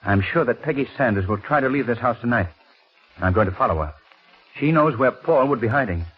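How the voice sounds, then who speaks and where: Calm determined 40s radio detective, suave and deliberate, pitch moves a lot, muffled old broadcast sound. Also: male voice, husky. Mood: measured, probing. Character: wounded, calm, determined gentleman amateur detective. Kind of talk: 1940s radio mystery acting. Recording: dull lo-fi 1940s radio recording.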